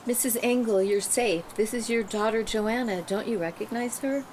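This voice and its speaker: A woman's voice: a little high, moderate pace, a little mellow, close to an everyday voice. A woman playing a kind, reassuring male orderly in a screenplay table read, recorded in a noisy room.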